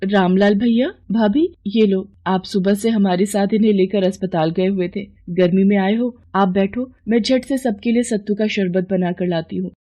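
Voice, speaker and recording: A woman acts a devoted, anxious village wife in a storybook drama. Her voice is soft-toned and husky, polite and pleading. The recording is a little dull. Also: mid-range voice, fairly even intonation, normal speed, few pauses, close to an everyday voice. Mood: respectful, obliging. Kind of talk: Hindi moral story voice acting.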